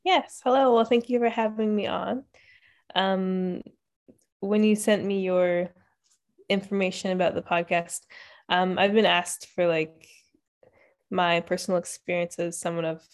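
A woman from Ontario, a podcast guest chatting casually and relaxed, often pausing on "um". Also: on the low side. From Ontario, Canada. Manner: relaxed, many "um" fillers, thinking aloud. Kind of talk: casual interview chat.

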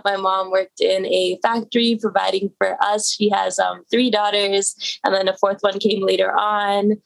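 A young woman from Toronto, a podcast guest, telling stories about her childhood in a relaxed, easygoing way. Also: mid-range voice, low-quality recording. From Toronto, Canada. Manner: relaxed, easygoing storytelling with fillers. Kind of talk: casual interview chat.

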